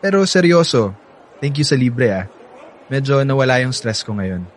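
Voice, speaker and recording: Man acting cocky young playboy Percy, mid-pitched. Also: lively intonation, a little hiss or hum, close to an everyday voice. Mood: smug, boastful. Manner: teasing, smug, drawn-out playful delivery. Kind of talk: radio drama acting.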